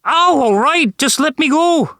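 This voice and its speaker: A man's voice: very animated, takes it slowly, a bit bright, smooth, no room sound, clean studio sound, exaggerated voice. A man voicing a grumpy little male leprechaun who puts on a Texas drawl, talking indignantly. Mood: yelping. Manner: indignant, high and squawking.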